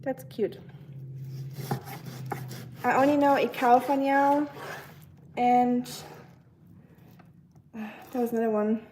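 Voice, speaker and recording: A woman's voice: on the high side, steady tone, takes it slowly, long pauses, dark tone, slight background noise. Chatty young woman livestreaming while cooking. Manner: chatty, many fillers, giggly asides. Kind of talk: casual live stream chat reading comments. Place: home kitchen, cooking while streaming.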